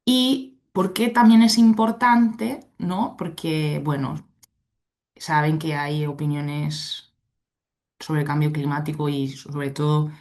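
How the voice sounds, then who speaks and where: Low-voiced Spanish woman lecturing online. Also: steady tone, slow, darkish tone. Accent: Spain Spanish. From Spain. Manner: chatty, keeps checking in with "¿no?" and "¿de acuerdo?". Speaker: invited speaker, legal expert. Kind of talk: online conference presentation. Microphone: computer mic on a video call.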